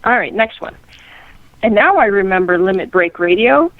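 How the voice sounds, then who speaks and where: A woman's voice: medium pitch, lively intonation, normal speed, no echo. Middle-aged woman reading aloud, slightly muffled. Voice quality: clear, fluent reading. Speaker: guest reader. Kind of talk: reading online comments aloud.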